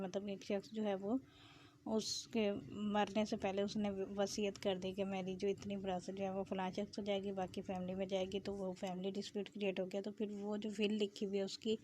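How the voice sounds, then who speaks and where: A woman with a Pakistani accent teaches in a very even, low-variation voice with a soft, mellow tone. She explains as a lecturer does, dropping English legal terms into her sentences. A light noise sits behind her. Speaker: law lecturer.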